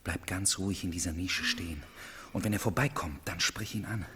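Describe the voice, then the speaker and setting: An actor in a 1970s radio play as a furtive man calming someone, husky and hushed. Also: low voice, brisk, full character voice. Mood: hushed urgency. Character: calming, furtive man giving whispered instructions. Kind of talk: 1970s German-language radio play acting.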